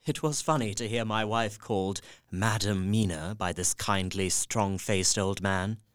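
An actor playing an earnest, newly resolute young husband, reading his journal in a measured, literary way for an audio drama. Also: on the low side, smooth, no echo, studio quality, lightly acted. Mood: resolute, reflective.

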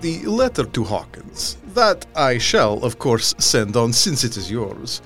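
An actor playing a sinister old vampire count in an audio drama, with deliberate, mock-courteous, theatrical delivery. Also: male voice, mid-range voice, very animated, fairly bright tone, faint music behind. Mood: silky courtesy.

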